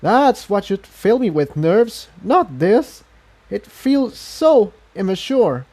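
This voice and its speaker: A man voicing an anxious, apologetic female pony rebel in a storybook reading, speaking in a halting, earnest way. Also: sits high, sing-song, mellow, pitched above the performer's own voice, full character voice. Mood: self-reproaching, relieved.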